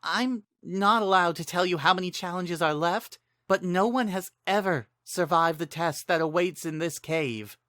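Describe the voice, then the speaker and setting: A man's voice: high-pitched, normal speed, few pauses, pushed and bright, dry recording, studio quality, pitched above the performer's own voice. A man voicing a caring female magician who speaks in a grave, cautioning manner in a fantasy audio story. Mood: worried warning.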